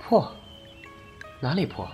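A man's voice: slow pace, over music, natural voice. An actor playing an aloof, mysterious young boy who speaks in terse, cool phrases in an audio drama. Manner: terse, cool, few words.

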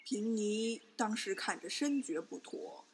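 A woman's voice: mid-range voice, lively intonation, a little slow, slight background noise. A woman playing a pious, insinuating Buddhist nun in a Chinese period audio drama, speaking formally and deferentially. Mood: measured accusation.

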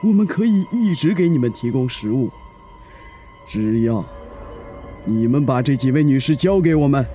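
A man's voice: medium pitch, expressive, moderate pace, pauses often, warm tone, faint music behind, close to an everyday voice. A man playing a threatening, coercive prison thug in a zombie audio drama. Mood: menacing. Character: menacing male prison thug bargaining for women. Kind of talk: Chinese fan audio drama acting.

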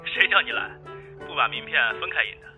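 A man's voice: medium pitch, expressive, fairly quick, lo-fi sound, music in the background, natural voice. A man playing a chatty young male friend with a breezy, chiding manner.

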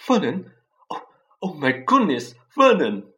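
A fretful, fussy middle-aged woman with a high, shaky voice, as a man reading aloud puts her on. Her lines climb well above his usual pitch and flutter up and down in alarm. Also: huge pitch swings, normal speed. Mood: frightened. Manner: shrill, quivering, fretful.

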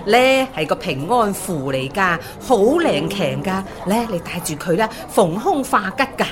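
A woman playing a doting, nagging eighty-year-old grandmother in an audio drama, with a Hong Kong Cantonese accent and coaxing, drawn-out phrases. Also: medium pitch, very animated, a crowd in the background. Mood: fussing, coaxing. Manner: drawn-out, coaxing, scolding fondly.

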